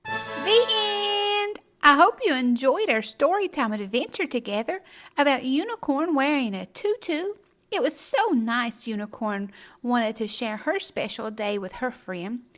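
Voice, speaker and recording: High-pitched, animated woman storyteller. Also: measured pace. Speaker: children's storytime host and narrator. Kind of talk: expressive read-aloud storytelling for young children.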